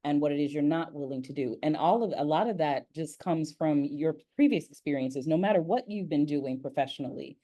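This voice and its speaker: Low-pitched American woman interviewer. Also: fairly quick, hardly any pauses, warm tone. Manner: emphatic, repeats phrases for effect. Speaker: interviewer and voice-acting community leader. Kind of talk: conversational interview.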